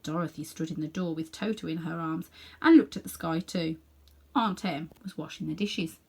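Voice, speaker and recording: A woman reading a children's story aloud in an animated way, with dramatic pauses and hushed little asides. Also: low-pitched, breathy edge. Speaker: storybook reader.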